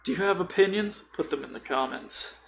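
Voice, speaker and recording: A man's voice: sits high, lively intonation, fairly quick, gravelly, a bit bright, slight background noise. A man chatting casually and conversationally as a podcast co-host. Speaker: podcast co-host discussing tabletop role-playing.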